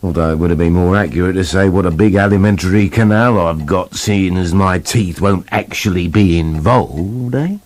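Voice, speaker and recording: A man's voice: deep voice, moderate pace, hardly any pauses, darkish tone, lightly acted. A British man playing a sardonic, bossy wolf in a comic radio play, grumbling and sarcastic. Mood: grudging, practical. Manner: sarcastic, exasperated, sweary.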